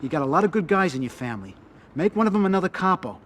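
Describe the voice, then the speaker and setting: A man's voice: high-pitched, big pitch swings, very fast, fairly bright tone, heavily acted. A man playing a hot-headed, scheming mobster in a crime game, agitated and insistent. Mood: low, conspiratorial. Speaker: video game character actor. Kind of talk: cinematic crime drama acting.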